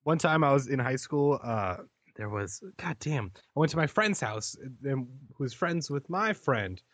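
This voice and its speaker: A man's voice: medium pitch, expressive, normal speed, fairly bright tone, dry recording. A man co-hosting a podcast, telling stories in a self-deprecating, laughing way. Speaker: podcast co-host. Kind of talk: casual podcast storytelling.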